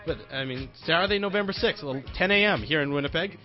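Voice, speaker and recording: A man's voice: on the high side, quick pace. A man hosting a sports radio show, casually chatting and plugging upcoming broadcasts in an upbeat announcer manner. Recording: dull lo-fi broadcast with faint music.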